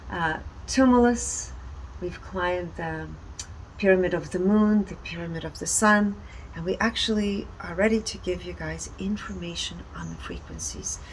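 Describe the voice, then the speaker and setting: A woman giving a conversational, explanatory presentation in deliberate short phrases. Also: fairly low pitch, rough recording. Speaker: speaker presenting energy readings. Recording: background ambient noise.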